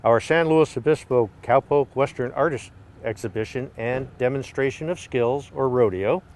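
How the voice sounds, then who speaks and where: A man's voice: mid-range voice, moderate pace, darkish tone. An older man reading scripted promotional narration as a gallery owner. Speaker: gallery owner presenting an event.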